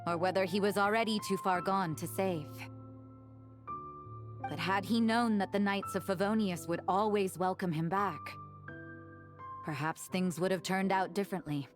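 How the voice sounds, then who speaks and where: A woman voicing a thoughtful grown woman who reflects on an old friend in a measured way, in a video game. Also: medium pitch, steady tone, music in the background, close to an everyday voice. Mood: reflective.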